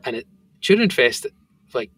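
A man's voice: mid-range voice, big pitch swings, a little slow, fairly bright tone, breathy edge, dry recording. A man with a thick Scottish accent, a company founder talking casually as a podcast guest, rambling with lots of fillers. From Scotland. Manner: rambling, filler-heavy storytelling.

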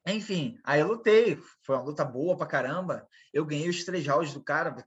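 Brazilian man, MMA fighter guest, husky, a bit high, rambling interview storyteller. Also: normal speed, dull audio. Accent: Brazilian Portuguese. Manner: rambling, repeats himself, quotes others in dialogue.

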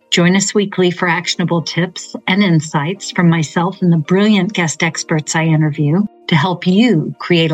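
A woman's voice: on the low side, rough recording. Upbeat middle-aged woman podcast host. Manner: upbeat, enthusiastic, affirming. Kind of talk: scripted intro then casual interview.

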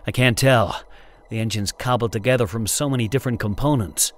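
A man's voice: mid-range voice, a little fast, close to an everyday voice. A man voicing an anxious male co-pilot who speaks breathlessly. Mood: worried. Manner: breathless, worried.

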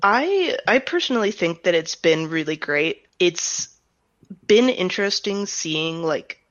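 A woman speaking as an interviewed director, explaining her creative work in a thoughtful, reflective way with softened statements. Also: on the low side, animated, a little slow, no echo, highs cut off. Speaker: audio drama director and writer being interviewed.

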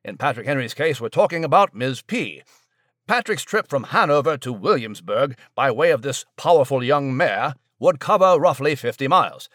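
A man's voice: medium pitch, lively intonation, moderate pace, a bit bright, no echo, studio quality. A man playing a pompous, florid newsroom reporter delivering a chatty mock news report. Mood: jovial, pompous.

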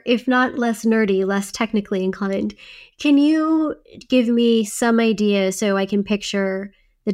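A woman co-hosting a podcast, asking conversational questions in an inquisitive way and seeking plain explanations. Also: mid-range voice. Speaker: podcast co-host. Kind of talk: conversational interviewing.

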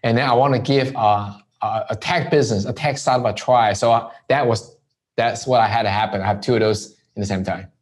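Man from Hong Kong, conversational interview guest, relaxed and anecdotal. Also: medium pitch, fairly quick. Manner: relaxed storytelling with frequent "right?" tags. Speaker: entrepreneur guest.

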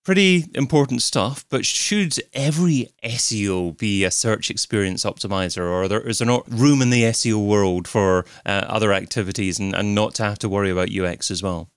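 A man's voice: fairly low pitch, fairly quick, few pauses, clear, resonant tone, no room sound, studio quality. A man from the UK hosting an interview, asking questions and thinking aloud with ums. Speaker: podcast host.

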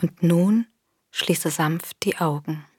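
A woman leads a guided body scan in a soft, breathy voice. Her delivery is very slow, gentle and soothing, with a slightly low pitch. The recording is close and dry. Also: dry recording. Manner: gentle, soothing, unhurried instruction with long pauses. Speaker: meditation guide. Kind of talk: guided body scan meditation, scripted narration.

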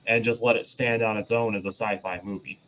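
A man's voice: fairly low pitch, fairly even intonation, a little fast, fairly bright tone. Man on a dull lo-fi mic. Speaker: podcast co-host reviewing films. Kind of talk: casual review discussion. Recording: dull, lo-fi voice audio.

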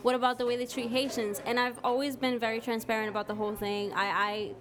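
Brief: young woman podcast co-host from the Dominican Republic, outspoken and candid, voice on the higher side. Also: flat delivery, fast talker, fairly bright tone. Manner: candid, outspoken, swears freely. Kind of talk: casual live podcast chat.

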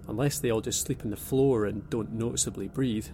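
A man voicing a dry-humoured young abductee with a gravelly, creaky edge to his voice. Over a prominent music score in an audio drama, he keeps a sardonic calm. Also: on the low side, brisk, lightly acted. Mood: wry. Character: wry young man named Paul, abductee.

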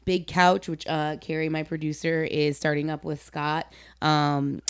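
A woman's voice: sits low, flat delivery, unhurried, clean tone, dry recording, studio quality. A woman chatting casually and candidly as an interview host, with an earnest, informal way of talking. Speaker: podcast host and comedy performer.

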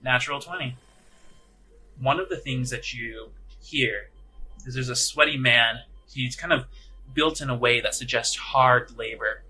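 A man's voice: medium pitch, unhurried, sharp tone, soft background music, low-quality recording. A man narrating a tabletop role-playing game as game master in a conversational, descriptive, improvised way.

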